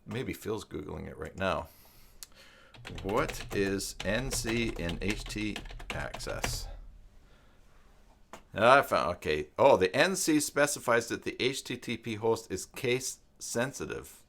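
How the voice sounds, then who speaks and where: Canadian male live stream host, lowish gravelly voice, informal tutorial chat with musing, stop-and-start delivery. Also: lively intonation, a bit bright, a little hiss or hum. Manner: halting, musing, reads aloud and mutters to himself. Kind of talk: casual live tutorial chat, thinking aloud.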